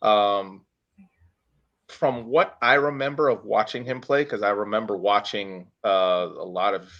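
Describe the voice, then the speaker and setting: A man in his thirties talking casually about sport as a podcast host, halting and searching for words as he recounts details. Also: fairly low pitch, fairly even intonation, dull audio. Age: 30s. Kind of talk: casual sports talk, recounting a player's career.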